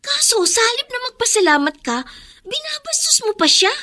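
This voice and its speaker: An indignant schoolgirl in a radio drama. Her voice is very high and piercing, swinging widely as she scolds with raw feeling and fierce loyalty to her mother. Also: very animated, fairly quick, exaggerated voice. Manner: forceful, scolding, emotional. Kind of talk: Filipino radio drama acting.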